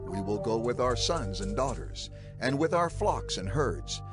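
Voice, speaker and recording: A man's voice: medium pitch, big pitch swings, a little slow, music in the background. A man playing a firm, resolute Hebrew prophet in dramatized scripture audio, speaking emphatically.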